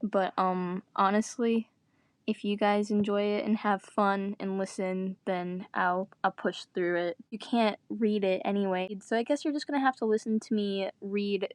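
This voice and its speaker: A young woman reads aloud in a casual, homemade way, with a self-deprecating and giggly manner. Her pitch sits in the middle for a woman. The sound is dry, with very little room on it, recorded on a tablet. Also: steady tone, moderate pace, no room sound. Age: young adult. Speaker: amateur reader and narrator. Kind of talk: casual read-aloud of a story with self-mocking asides. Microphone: tablet's built-in microphone.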